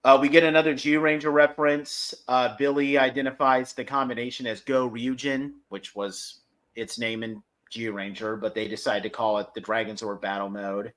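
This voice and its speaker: A man's voice: mid-range voice, level tone, moderate pace, fairly bright tone. A man hosting a podcast, giving casual review commentary and recapping step by step in a hesitant way. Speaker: podcast host.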